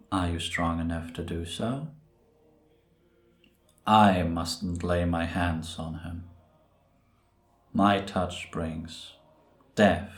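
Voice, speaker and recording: A man playing Death as a grave, gentle reaper who speaks in a measured, deliberate way in an audio roleplay. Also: low voice, exaggerated voice. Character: solemn, gentle male Death, a reaper who was once human. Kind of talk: cinematic audio roleplay acting. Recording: roomy, reverberant sound.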